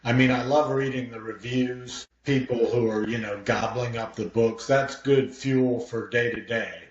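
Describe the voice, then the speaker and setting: A middle-aged man giving a casual interview as a guest author, speaking in a halting, reflective way and trailing off. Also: medium pitch, fairly even intonation. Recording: very echoey, muffled, faintly noisy room audio.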